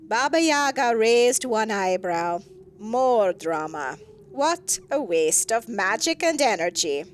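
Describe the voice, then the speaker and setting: A woman narrating a scripted fairy tale with expressive, deliberate emphasis. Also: on the high side, a little hiss or hum. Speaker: story narrator.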